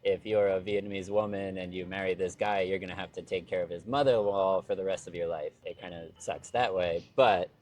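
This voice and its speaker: Low-voiced man chatting loosely. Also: brisk, few pauses, slight background noise. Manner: relaxed, rambling, heavy use of "like". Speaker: interview guest, expat living in Vietnam. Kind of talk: casual conversation.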